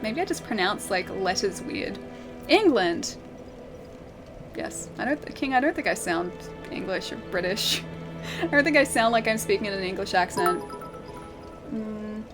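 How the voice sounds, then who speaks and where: A woman's voice: mid-range voice, lively intonation, measured pace, pauses often, music in the background. An Australian woman streaming a game, chatting casually and reading her chat aloud, laughing often and thinking aloud with plenty of fillers.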